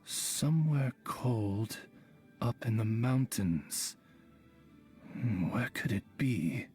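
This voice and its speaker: A man playing a composed, scholarly adviser in a video game, speaking in a measured and formal manner. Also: medium pitch, animated, darkish tone. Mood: calm, thoughtful. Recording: faint music behind.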